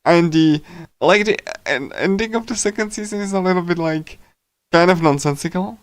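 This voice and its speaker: A man livestreaming in a casual, rambling chat style, speaking haltingly with lots of filler words. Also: high-pitched, no room sound. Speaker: livestreamer reading a visual novel.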